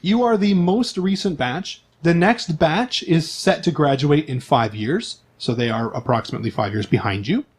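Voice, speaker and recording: A man with a slightly high voice runs a tabletop role-playing game. He explains things conversationally and jokes casually with his players as he goes. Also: moderate pace, few pauses, breathy edge. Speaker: game master.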